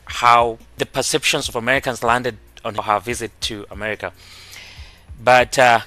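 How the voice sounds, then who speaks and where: A man from Kenya hosting a casual reaction video. He talks in an animated way and breaks into laughter when he makes a point. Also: medium pitch, no echo.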